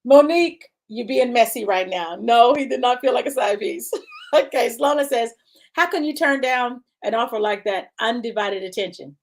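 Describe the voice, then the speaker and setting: A woman's voice: on the high side, moderate pace. A woman hosting a casual live-stream chat, bubbly, laughing often and reading viewer comments aloud. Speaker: show host.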